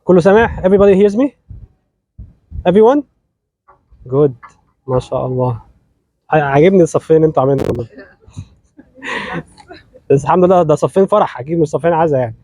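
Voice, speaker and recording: Egyptian man, wedding officiant, fast and playful, high voice, mellow dry sound, slightly sick. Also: lively intonation, dry recording, low-quality recording. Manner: playful, teasing, switches between English and Arabic. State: sick, voice not at full strength. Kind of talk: lively wedding sermon, joking with the couple.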